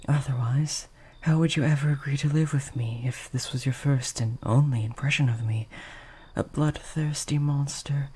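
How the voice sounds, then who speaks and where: A performer voicing a gentle, lovesick, possessive vampire in an intimate audio roleplay, soft and breathy, with formal, hesitant, old-fashioned phrasing. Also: medium pitch. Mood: possessive, restrained. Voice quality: soft, breathy, often dropping toward a whisper.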